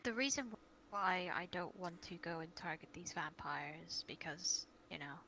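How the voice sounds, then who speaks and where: A woman's voice: lowish voice, animated, unhurried, bright, forceful tone. A woman speaking casually in character as a guild leader in an online roleplay, over voice chat. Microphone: online voice chat in a virtual world. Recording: clearly noisy online voice chat.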